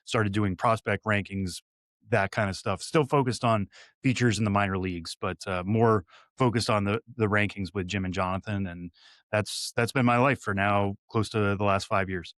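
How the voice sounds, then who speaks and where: A man's voice: lowish voice, steady tone, moderate pace, fairly bright tone, no echo, studio quality. A man in his thirties from Western Massachusetts, a guest analyst giving long, detailed conversational answers with light fillers. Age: 30s.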